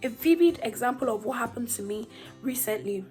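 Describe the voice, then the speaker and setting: A woman's voice: fairly high pitch, expressive, brisk, a little mellow, soft background music. A woman with a Nigerian accent talking candidly to her viewers in a vlog, emphatic and direct, often putting rhetorical questions to them. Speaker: senior nurse and vlogger.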